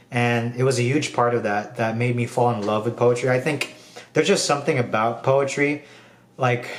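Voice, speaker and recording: A man's voice: mid-range voice, far from the mic. A young man from the Philippines talking through his personal goals in a casual video diary, rambling with lots of fillers. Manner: relaxed, rambling, frequent um and like fillers. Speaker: video diarist. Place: room at home. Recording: echoey room.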